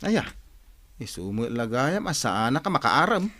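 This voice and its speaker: An actor playing a lazy, shifty, grumbling gambler in a radio drama, whiny and evasive. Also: male voice, medium pitch, sing-song, measured pace, bright, forceful tone, no room sound, exaggerated voice. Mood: wheedling. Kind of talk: Ilocano radio drama acting.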